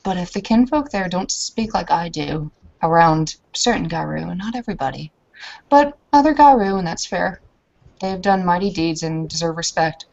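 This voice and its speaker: A woman voicing an earnest, humble kinfolk woman in a tabletop roleplaying game, speaking formally and hesitantly, with careful halting phrasing. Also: lowish voice, creaky, a little mellow, lo-fi sound. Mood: earnest warning. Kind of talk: improvised in-character roleplay.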